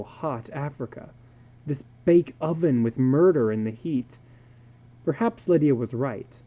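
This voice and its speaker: Male narrator, dramatic fiction reading, unhurried, dark soft timbre, brooding, pausing between phrases. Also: medium pitch. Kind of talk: dramatic short story reading.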